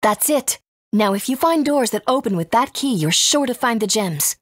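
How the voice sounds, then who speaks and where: A woman's voice: fairly high pitch, animated, moderate pace, dry recording, clean studio sound. A woman playing a composed, authoritative guide in a video game, serious and explanatory. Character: composed, authoritative woman guiding young heroes.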